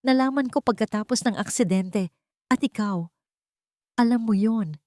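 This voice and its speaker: A woman's voice: a little high, normal speed, dark tone, no echo, studio quality, voice pushed up, natural voice. A woman voicing an anguished, commanding billionaire man in a dramatic audio story, with intense and emphatic delivery. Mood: furious, betrayed.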